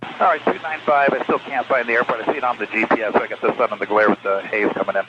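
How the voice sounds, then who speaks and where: A man's voice: mid-range voice, fairly even intonation, rapid-fire. A man speaking as a pilot, giving radio readbacks over an aviation radio. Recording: noisy, muffled cockpit radio.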